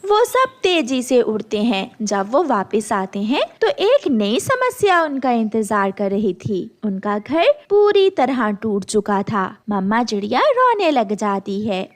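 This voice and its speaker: A woman's voice: on the high side, very animated, slow pace, hardly any pauses. A woman narrating a scripted moral story for children.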